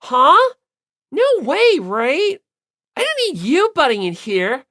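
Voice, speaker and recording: A man's voice: very high-pitched, huge pitch swings, slow, pushed and bright, voice pushed up, heavily acted. A man voicing a brash, hot-tempered teenage gyaru girl in an audiobook, blunt and snappy. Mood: angry.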